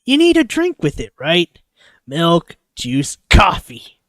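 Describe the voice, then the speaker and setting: A streamer voicing an eager, caring male automaton with a gentle, coaxing, playful manner in a visual novel. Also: medium pitch, huge pitch swings, takes it slowly, dry recording, clean studio sound. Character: eager, caring male automaton host, wistful about humans.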